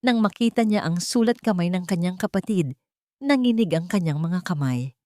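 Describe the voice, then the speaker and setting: A woman narrating a scripted audiobook drama in a measured, emotional way. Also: on the low side, lively intonation, warm tone, dry recording.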